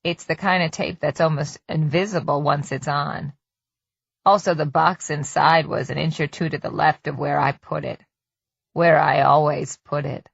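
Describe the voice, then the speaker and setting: A woman narrator plays a middle-aged husband in a storybook thriller, his voice dropped low. He is calm, folksy and falsely tender, talking down with patient menace. The recording is dry and slightly dull. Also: normal speed, vocal fry, slightly rough, no room sound, full character voice. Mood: coolly accusing. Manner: folksy, patient, condescending endearments.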